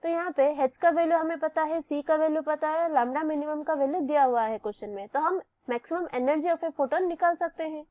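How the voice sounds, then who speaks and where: Indian lady teacher, high voice, slow step by step explaining, dry recording. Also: darkish tone, no room sound. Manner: methodical, poses questions and answers them. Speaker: physics teacher. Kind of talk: step-by-step lesson, explaining a worked problem.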